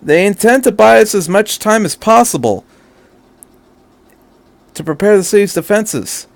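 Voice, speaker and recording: A man acts an alert military lieutenant in an audiobook story, his voice lifted in pitch. He reports formally and earnestly to his superior. The recording is dry. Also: expressive, unhurried, gravelly, dry recording, heavily acted. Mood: alert, worried. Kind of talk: fan fiction audiobook character.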